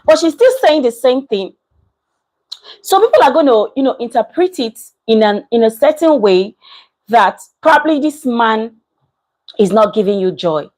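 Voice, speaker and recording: A woman talks through a casual reaction commentary, leaning hard on her points and going back to them. Her voice sits on the higher side. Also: normal speed, rough recording. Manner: animated, emphatic, repeats herself. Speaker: commentary vlogger.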